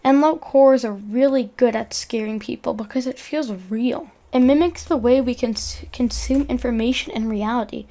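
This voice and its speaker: Young woman, high-pitched, conversational video essay narration, earnest, slightly stumbling delivery. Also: moderate pace, hardly any pauses, mellow.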